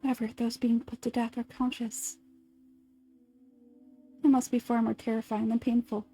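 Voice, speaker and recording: A woman voicing a calm adult nurse in an anime fan dub, speaking in a soft, measured, lecturing way as she dwells on gruesome details. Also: on the high side, faint music behind. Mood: macabre, relishing. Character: calm adult female nurse relishing grisly history.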